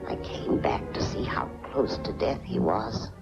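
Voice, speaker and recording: An actress playing a mousy older aunt who is a coldly menacing murderess, speaking deliberately in 1940s film style. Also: female voice, rumbling low, very animated, creaky, over music, full character voice. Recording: dull 1940s film soundtrack from VHS. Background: prominent film score.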